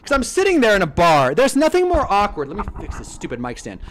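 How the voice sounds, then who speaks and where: Young Californian male streamer, high-ish, very expressive delivery. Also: quick pace, slight background noise. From Southern California. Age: 20s. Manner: animated, swearing, storytelling. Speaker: streamer host. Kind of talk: casual live-stream commentary.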